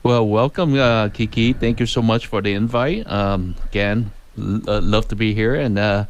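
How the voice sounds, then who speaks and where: A man appearing as a first-time radio guest, friendly and hesitant, with many pauses and restarts. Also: fairly low pitch, slight background noise. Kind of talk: casual interview talk. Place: radio studio.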